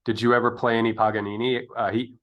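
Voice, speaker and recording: A man's voice: mid-range voice, level tone, fast talker, muffled recording. A man interviewing a guest in a casual, conversational style, rambling a little as he thinks aloud. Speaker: interviewer, podcast host.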